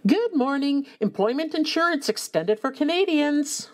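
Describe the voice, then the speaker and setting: A woman's voice: high voice, animated, normal speed, heavy background noise. A woman playing a chirpy young bartender who now answers calls for the unemployment office. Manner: bubbly, breezy.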